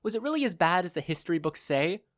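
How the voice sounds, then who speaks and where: A man's voice: high voice, sing-song, quick pace, no room sound, studio quality, pitched above the performer's own voice. A man voicing a kidnapped mother who is courteous, inquisitive and dryly unimpressed, in a story reading. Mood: incredulous.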